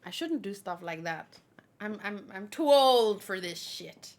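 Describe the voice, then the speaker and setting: A woman host doing an excited, playful read-along of a manga, full of exclamations and acted bits. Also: medium pitch, measured pace. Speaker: host reading a manga chapter aloud.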